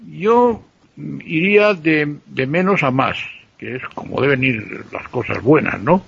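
A man from central Spain with a Spanish accent, co-hosting a radio show. His voice is gravelly and husky, and he is playful and teasing as he explains things at length. The sound is dull and lo-fi, like a radio recording. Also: on the high side, lively intonation, unhurried, fairly bright tone. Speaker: radio co-host. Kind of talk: casual radio chat.

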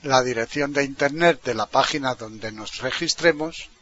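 A man with an accent from Spain giving an informal step-by-step software tutorial, explaining as he goes and checking in with little questioning tags. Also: medium pitch, moderate pace, a little hiss or hum. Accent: Spanish from Spain. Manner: explanatory, often ending phrases with a questioning tag. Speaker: tutorial presenter. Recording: dull, lo-fi computer microphone recording.

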